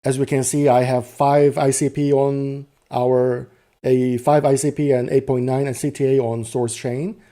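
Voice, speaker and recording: A man's voice: mid-range voice, level tone, a little slow, dark tone. A man narrating an unscripted technical demo, explaining each step and often rephrasing himself as he goes. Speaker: presenter demonstrating software.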